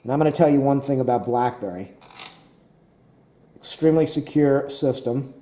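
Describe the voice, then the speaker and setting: A man talks casually and rambles, repeating himself and filling pauses with "uh." His voice is very dark and mellow and a little hoarse. He sounds like he's in an echoey room. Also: mid-range voice. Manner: rambling, repetitive, many fillers. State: slightly hoarse. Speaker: tech vlogger.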